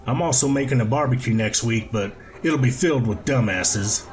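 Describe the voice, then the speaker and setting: A man's voice: mid-range voice, normal speed, soft background music, natural voice. A man voicing a happy, jovial, extroverted bar-goer in a storybook reading, chummy and joking.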